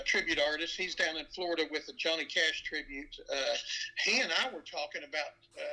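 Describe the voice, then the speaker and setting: A man's voice: fairly high pitch, pushed and bright, low-quality recording. A man with a nasal voice from West Virginia, chatting as an interview guest and rambling with many fillers. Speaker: guest, tribute band frontman. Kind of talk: casual interview chat.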